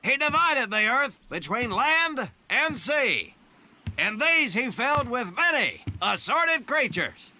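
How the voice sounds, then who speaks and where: Pompous marine drill sergeant for a war game, super sharp loud voice, slow preachy speeches, barks orders. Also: male voice, very high voice, very animated, exaggerated voice, rough recording. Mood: mock solemn. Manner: declamatory mock-biblical oratory, barking orders. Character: bellowing, pompous Marine drill instructor, sardonic and domineering.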